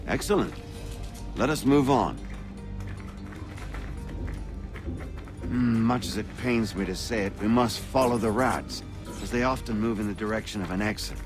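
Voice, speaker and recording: Male actor as wry, genial colonial rebel guide for a video game; lowish pitch, eloquent, instructive, music underneath. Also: normal speed, lightly acted. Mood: guiding, wry.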